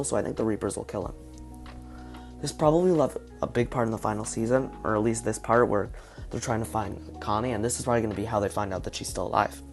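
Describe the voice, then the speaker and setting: Hoarse fast-talking man with gravelly low voice. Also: a little mellow. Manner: speculative, thinking aloud. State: hoarse, partly lost voice. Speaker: video reviewer. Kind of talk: casual video commentary.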